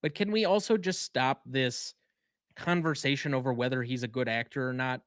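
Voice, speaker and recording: Male podcast co-host, mid-pitched, opinionated and emphatic, dry close mic. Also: normal speed, a bit bright, dry recording. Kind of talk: casual chat.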